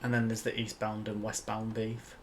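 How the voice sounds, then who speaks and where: A man's voice: fairly low pitch, completely flat delivery, moderate pace, a loud crowd. Guy with a yorkshire accent rambling thru a build tutorial, laid back, laughs a lot. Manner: chatty, rambling, laughs easily. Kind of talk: casual chat.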